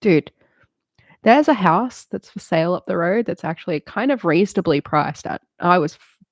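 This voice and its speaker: A woman's voice: fairly low pitch, lively intonation, moderate pace, no echo, studio quality. Candid, swearing woman in her late thirties. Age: late 30s. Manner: candid and rambling, swears freely, laughs often. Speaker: streamer. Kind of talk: casual chat on a live stream.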